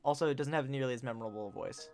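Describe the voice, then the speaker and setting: A man's voice: mid-range voice, rapid-fire, darkish tone. A man hosting a game stream, chatting casually and reading out narration, with music behind him.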